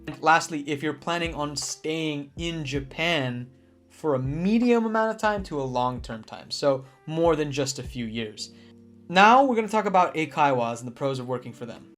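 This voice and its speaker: Upbeat young man over soft guitar. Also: on the high side. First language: English. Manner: earnest, with restarts and fillers. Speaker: vlogger giving advice on teaching jobs in Japan. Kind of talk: casual talk to camera. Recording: soft guitar music behind.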